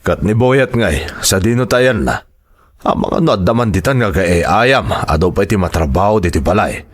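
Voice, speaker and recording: A man's voice: deep voice, expressive, moderate pace. A man playing a stern, bossy uncle who orders children around in a family audio drama. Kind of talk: dramatized radio-style family drama acting.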